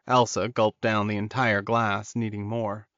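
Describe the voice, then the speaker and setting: A man's voice: fairly low pitch, little pitch movement, a little slow, a bit bright, no echo, dull audio. A man reading scripted fantasy romance as an audiobook narrator.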